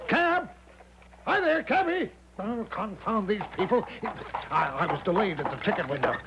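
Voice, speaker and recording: An actor playing a brash, overbearing retired American army major in a radio drama, grandiose, boastful and coaxing in manner. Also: male voice, sits high, animated, moderate pace, heavy background noise. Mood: hurried, bossy. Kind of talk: theatrical radio drama acting. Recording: dull vintage radio recording with music.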